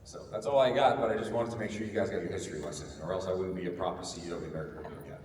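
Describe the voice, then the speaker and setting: A man's voice: low-pitched, racing, a little mellow. A man speaking on a conference panel in a lecturing way, often tagging his sentences with "right?". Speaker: panelist, company CEO. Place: large conference hall stage. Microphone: handheld mic. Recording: echoey hall, distant mic, faint noise.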